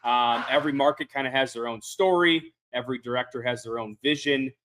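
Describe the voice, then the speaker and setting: A man's voice: mid-range voice, a little fast, fairly bright tone, a little hiss or hum. A man hosting a casual podcast interview, laid-back and heavy on fillers. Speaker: podcast host.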